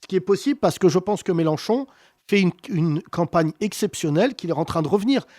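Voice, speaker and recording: A man hosting a heated political debate, talking with passion and emphasis and wide swings in pitch. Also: animated, brisk, darkish tone, dry recording, studio quality. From France. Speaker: host.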